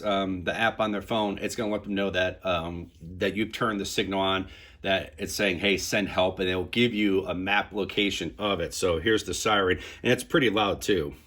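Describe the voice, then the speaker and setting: A man's voice: low-pitched, normal speed, a bit bright. A man casually demonstrating and reviewing a product, explaining it step by step in an informal way.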